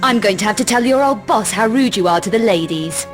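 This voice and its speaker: A woman's voice: medium pitch, expressive, fairly quick, soft background music, close to an everyday voice. Cool, sardonic adventuress played by a woman. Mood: cool, pushy. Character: cool, threatening young female adventurer, sardonic and self-assured. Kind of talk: video game cutscene voice acting.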